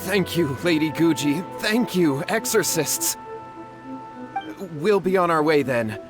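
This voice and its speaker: A man's voice: on the high side, animated, slow pace, music in the background. A man playing a humble, worried brother in a video game, polite and hesitant. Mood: grateful.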